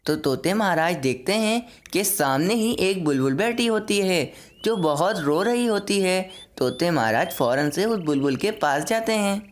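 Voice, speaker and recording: A woman narrating a scripted children's story. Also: on the low side.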